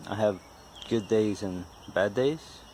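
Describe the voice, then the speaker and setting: A man in his forties with a stutter talks casually and haltingly in a folksy, self-deprecating vlog. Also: lowish voice, warm tone, slight background noise. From West Virginia, United States. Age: 40s. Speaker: vlogger, amateur car mechanic. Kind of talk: casual unscripted vlog talk.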